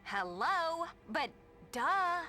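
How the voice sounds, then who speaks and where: A woman's voice: extremely high pitch, big pitch swings, very slow, full character voice. An actress playing a blunt, snobbish young popular woman in a video game, snide and dismissive, with an American accent. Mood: scornful. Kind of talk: video game cutscene dialogue. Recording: loud music behind the voice.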